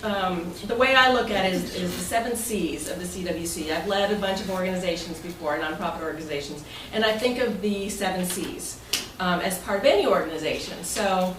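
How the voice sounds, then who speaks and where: A woman's voice: on the low side, a little fast, hardly any pauses. A woman speaking as club president, giving an informal, playful presentation to a meeting and inviting answers. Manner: chatty and playful, jokes and invites audience answers. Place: meeting room, voice picked up at a distance. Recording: echoey noisy meeting room, distant mic.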